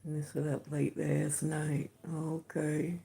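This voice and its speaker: An older woman with a distinctive voice, hosting a livestream and chatting affectionately with her viewers in an unhurried, chuckling way. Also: low voice, flat delivery, creaky, mellow. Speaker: livestream host greeting viewers. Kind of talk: casual chat answering comments.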